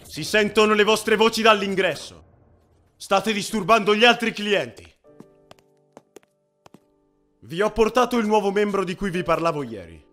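Man acting high-voiced commanding young gang leader. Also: measured pace, long pauses, a bit bright, faint music behind. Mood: stern. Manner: commanding, courteous. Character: stern, composed young gang leader, authoritative, polite. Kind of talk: Italian anime fan dub.

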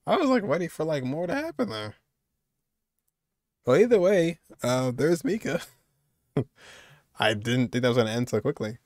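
A man's voice: mid-range voice, no room sound. Casual young man, dry close recording. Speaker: streamer reacting to game videos. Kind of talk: casual reaction commentary. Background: faint music.

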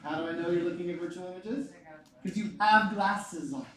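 A man in his forties teaching a physics class, lively and joking, repeating his key points. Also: high-pitched, a little fast. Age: 40s. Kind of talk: classroom lecture. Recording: echoey room, mic far off.

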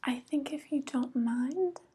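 A young woman playing a softly coaxing evil witch in an ASMR roleplay, whispering slowly and gently, close to the microphone. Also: high-pitched, slight background noise. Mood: admiring, coaxing. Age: young adult. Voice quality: breathy whisper. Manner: slow, gentle, flattering, falsely kind. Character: soft, coaxing evil witch luring a guest.

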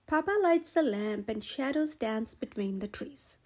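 A woman reading a children's picture book aloud in an expressive, gentle storytelling manner. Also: fairly high pitch, unhurried. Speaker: storyteller, channel host.

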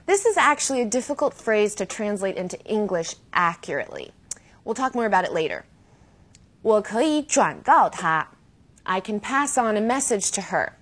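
Lively woman teaching, fairly high voice. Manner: didactic, slows down to model key phrases. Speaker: host and language teacher. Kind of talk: scripted educational presenting.

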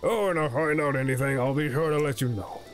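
A man's voice: fairly high pitch, quick pace, faint music behind, natural voice. A man reading as a wistful, determined traveling painter in a video game, conversational and earnest. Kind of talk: voicing video game dialogue.

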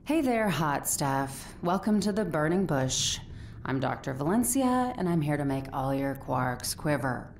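A woman's voice: low-pitched, animated, unhurried, a little hiss or hum. An actress playing a sultry, sassy female scientist in a video game, with seductive, sarcastic patter. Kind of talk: video game cutscene acting.

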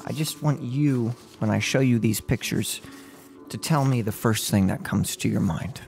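A young man in his twenties playing a gloating, unhinged serial killer in an ASMR role-play, speaking close to the mic in a halting, mock-polite, menacing way. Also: medium pitch, creaky, a little mellow, soft background music, close to an everyday voice. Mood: smug menace. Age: 20s. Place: small furnished room at home. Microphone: close microphone on a boom arm.

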